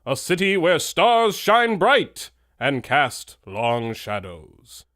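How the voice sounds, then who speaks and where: An actor playing a grand, dramatic narrator of an old-time radio adventure serial. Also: male voice, fairly high pitch, very animated, takes it slowly, fairly bright tone, heavily acted. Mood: ominous.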